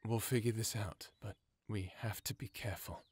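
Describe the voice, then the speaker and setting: A man's voice: fairly low pitch, fairly even intonation, normal speed, husky, dry recording. An actor playing a protective male settlement co-leader in a full-cast audiobook, speaking steadily and resolutely. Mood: worried.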